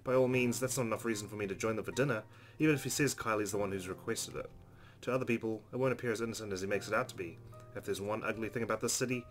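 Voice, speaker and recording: Fast-talking man reading game narration. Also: fairly low pitch. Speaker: let's play host. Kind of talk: reading game narration aloud with casual commentary.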